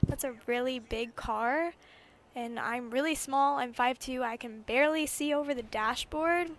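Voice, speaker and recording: An eighteen-year-old woman telling a personal story casually over gameplay, rambling and animated, with frequent swearing. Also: high-pitched, measured pace. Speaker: gaming YouTuber.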